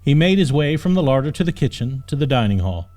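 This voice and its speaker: Guy reading a fantasy storybook, dramatic narrator style, average pitch, music playing behind him. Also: sing-song, fast talker, darkish tone. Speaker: audiobook narrator. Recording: music under the voice. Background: background music.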